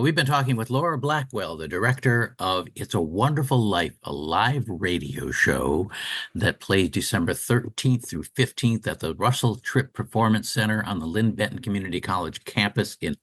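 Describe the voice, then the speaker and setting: A man's voice: low voice, a bit bright. A man hosting a radio show, interviewing guests and reading announcements.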